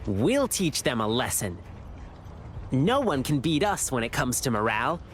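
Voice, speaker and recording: Plucky boyish game hero, eager, polite, unhurried, widely swinging pitch. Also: male voice, big pitch swings, a little hiss or hum. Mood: determined, plucky. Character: eager, kind-hearted, plucky lion dancer boy. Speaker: lion dance troupe performer. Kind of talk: video game character dialogue.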